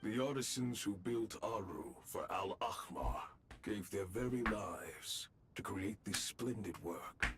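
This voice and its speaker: A man's voice: on the low side, lively intonation, slow pace, pauses often, fairly bright tone. A man voicing a solemn, demanding ancient spirit in a video game, grave and exhorting.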